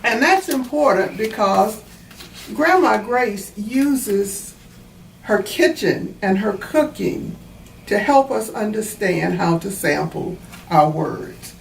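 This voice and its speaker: A woman reads and explains a storybook for children in a teacherly manner. Her pace is slow and deliberate, and the recording is roomy and a little distant, with faint noise behind her. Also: mid-range voice, expressive, vocal fry. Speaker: author reading own book.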